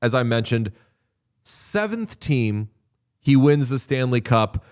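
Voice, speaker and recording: A man's voice: medium pitch, big pitch swings, slow pace, smooth. A man hosting a podcast, chatting casually and animatedly about hockey, swearing freely. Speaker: podcast host. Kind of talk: casual sports chat.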